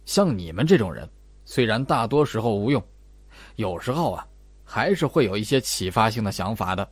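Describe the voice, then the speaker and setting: Man voicing a low, patronizing older investigator. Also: very animated, moderate pace, husky, no echo. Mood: condescending. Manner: drawling, patronizing, sentence-final particles. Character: Old Bai, condescending, long-winded investigator, older man. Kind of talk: audiobook character dialogue.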